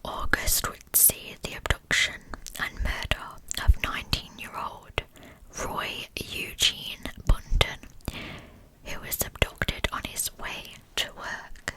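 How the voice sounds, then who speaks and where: Slow whispering woman, ASMR narrator. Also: poor audio. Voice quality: unvoiced, breathy whisper. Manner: slow, hushed, deliberate storytelling. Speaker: ASMR true-crime narrator.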